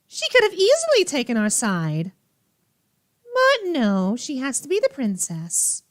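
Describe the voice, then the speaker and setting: A woman's voice: high voice, huge pitch swings, unhurried, dry recording, studio quality. A woman doing playful, theatrical commentary on a game as a streamer, with a teasing, sassy manner. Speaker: streamer reacting to visual novels. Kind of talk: playful gaming commentary with dialogue read aloud.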